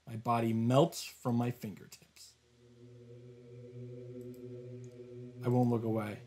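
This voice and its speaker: A man voicing a desperate, determined young hero, narrating a visual novel in an intense, halting, solemn way. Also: medium pitch, flat delivery, darkish tone, lightly acted.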